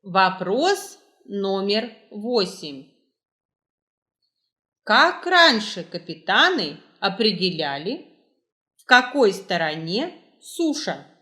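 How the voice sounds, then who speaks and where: Female quiz narrator: bright tone, animated intonation, unhurried and deliberate scripted reading. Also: mid-range voice. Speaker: quiz host, librarian.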